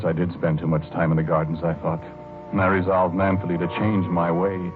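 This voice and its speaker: Casting: male actor as timid, dreamy husband, low register, halting and wistful, vintage horror radio drama, tinny old recording. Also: natural voice. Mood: troubled, musing. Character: dreamy, timid husband obsessed with his lilies. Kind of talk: 1940s radio drama acting, first-person narration and dialogue. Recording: muffled old radio recording, music behind.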